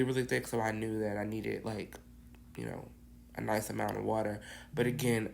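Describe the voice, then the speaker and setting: A woman with a raspy, hoarse voice from bronchitis, casually rambling through a cookie-icing tutorial with many ums. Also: extremely low pitch, darkish tone, a little hiss or hum. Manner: rambling, lots of ums and restarts. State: hoarse, getting over bronchitis. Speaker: cookie decorator.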